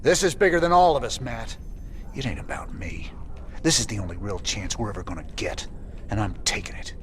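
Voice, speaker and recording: A stubborn, world-weary outlaw commander talks in a low drawl. The delivery is wry and determined with lively swings of pitch, game cutscene acting over faint noise. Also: male voice, a little fast. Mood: resolute.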